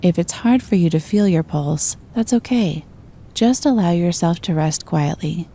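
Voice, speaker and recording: A woman gently guiding a meditation, giving slow, soothing instructions. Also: lowish voice, lively intonation, a little hiss or hum. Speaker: meditation guide. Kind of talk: guided meditation.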